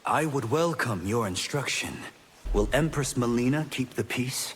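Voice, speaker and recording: An actor playing a keen, respectful young martial arts student in a video game, eager and polite. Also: male voice, mid-range voice, lively intonation, measured pace, lightly acted. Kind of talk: video game dramatic dialogue. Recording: echoing hall reverb, faint noise.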